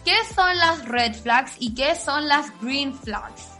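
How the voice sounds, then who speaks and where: A woman's voice: sits high, animated, slow pace, bright, forceful tone, soft background music. A young adult woman with a Chilean accent hosting a casual podcast chat among friends, informal and slangy, swearing freely. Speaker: podcast host.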